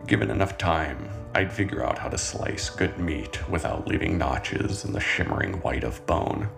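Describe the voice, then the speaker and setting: A calm male killer, acted by a man in a horror audio drama, confessing fondly in an extremely low voice. His delivery is gravelly and deliberate, with dramatic pauses over a soft music bed. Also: exaggerated voice. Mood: calm, confiding. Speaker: writer and narrator of a horror fiction podcast. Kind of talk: scripted first-person horror monologue. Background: music soundtrack.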